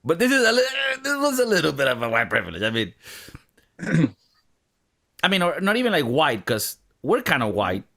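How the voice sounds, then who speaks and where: A man's voice: on the high side, normal speed, creaky. A man hosting a casual podcast, joking and swearing freely as he tells stories. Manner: profane, joking, animated storytelling. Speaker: podcast host.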